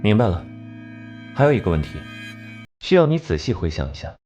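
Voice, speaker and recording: A cool, cerebral investigator from an animated crime series. His voice sits fairly low and moves with lively inflection as he lays out deductions in measured, analytical phrases. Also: muffled recording, soft background music. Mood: probing, composed. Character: cold, clever male criminal investigator reasoning through cases. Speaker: detective section chief. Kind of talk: animated crime drama dialogue.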